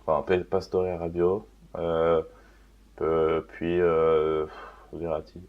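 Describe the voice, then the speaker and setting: A man's voice: deep voice, flat delivery, takes it slowly. A young man co-hosts a casual football chat. He speaks hesitantly, searching for words, and the recording is a bit dull and lo-fi. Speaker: football show co-host and Paris supporter. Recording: dull lo-fi sound.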